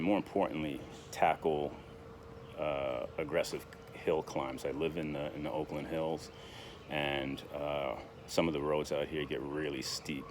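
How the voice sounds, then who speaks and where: A man in his sixties with an extremely low voice that hardly rises or falls. He talks in a halting, casual way, full of fillers and restarts. Steady outdoor noise with passing vehicles sits clearly behind him. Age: 60s. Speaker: vlogger. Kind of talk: casual vlog monologue.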